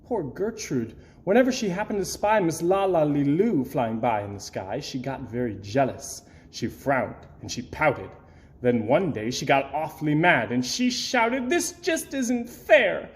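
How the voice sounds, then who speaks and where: Animated man reading a children's story aloud. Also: medium pitch. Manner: theatrical, dramatic emphasis and pauses. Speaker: storybook reader. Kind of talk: expressive scripted children's read-aloud.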